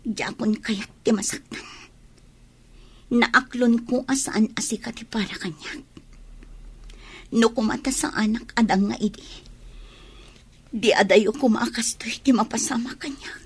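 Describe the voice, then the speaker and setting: A woman playing a wounded, guarded young mother in a radio drama, her breathy voice often near a whisper. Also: fairly high pitch, unhurried, pauses often. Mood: hurt. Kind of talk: Ilocano radio drama acting.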